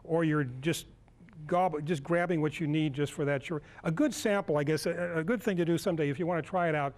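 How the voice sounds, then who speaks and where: A man in his sixties giving an informal live demonstration as a lecturer, halting as he searches for words. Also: a little high, darkish tone. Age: 60s. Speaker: lecturer at a club workshop. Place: indoor meeting room, speaking to a group.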